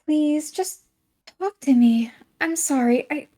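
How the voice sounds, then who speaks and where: A woman voicing a tearful, earnest schoolgirl in a visual-novel read-aloud, pleading haltingly. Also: sits high, expressive, mellow, pitched above the performer's own voice.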